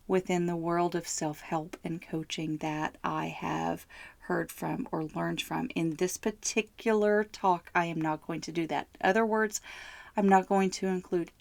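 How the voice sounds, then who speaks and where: A middle-aged woman hosting her own podcast, hoarse from bronchitis, speaking slowly, heartfelt and candid. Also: lowish voice, dry recording. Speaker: podcast host. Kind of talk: solo podcast monologue.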